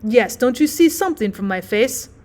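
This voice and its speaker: A woman voicing a giddy, boastfully happy newlywed gentleman in an audiobook, tipsy, effusive and rambling. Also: fairly high pitch, expressive, moderate pace, close to an everyday voice. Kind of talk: acted audiobook storytelling.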